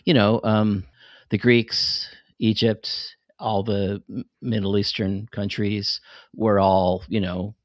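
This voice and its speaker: A man's voice: lowish voice, little pitch movement, slow, no room sound, dull audio. A man, middle-aged, talking casually on a podcast and explaining things in an enthusiastic, rambling way. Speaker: podcast host and fiction writer.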